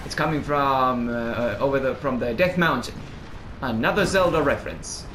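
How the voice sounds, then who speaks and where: Loud jokey gamer man, roomy mic. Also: medium pitch, normal speed, slight background noise. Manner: loud, jokey, swears freely. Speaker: let's play gamer. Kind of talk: casual gameplay chat. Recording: roomy home mic with game music.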